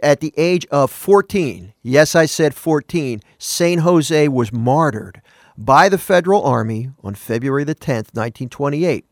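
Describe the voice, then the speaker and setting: A man's voice: fairly high pitch, no echo, studio quality. Earnest man talking on morning radio. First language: not Spanish. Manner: earnest, conversational, self-correcting, asks listeners questions.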